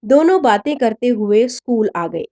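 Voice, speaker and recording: A woman reading a scripted story in an expressive, dramatic narrator's manner. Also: a little high, a little slow. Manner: expressive, voices the dialogue of characters.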